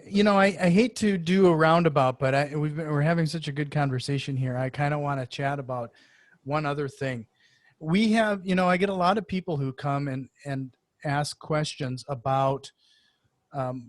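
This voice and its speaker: A man with a somewhat high-pitched voice hosts a conversational interview show. He talks in a wordy, halting manner, repeating words as he goes, and the sound is close and dry. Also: dry recording. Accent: Norwegian-influenced.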